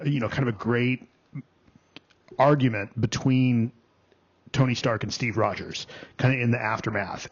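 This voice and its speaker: A man reviewing a film on a podcast, talking casually with frequent ums and restarts. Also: mid-range voice. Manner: halting, many ums and restarts. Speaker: film critic, podcast co-host. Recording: dull lo-fi podcast audio.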